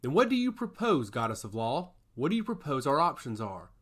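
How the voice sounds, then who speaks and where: A man's voice: medium pitch, sing-song, a little fast, fairly bright tone. A man voicing a proud male god of chaos in a storybook, speaking earnestly and formally. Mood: defiant.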